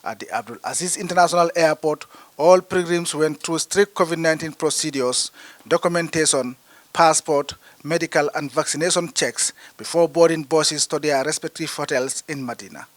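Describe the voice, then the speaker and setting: A man reading a scripted news report as a voice-over, measured and formal. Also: on the high side, no echo.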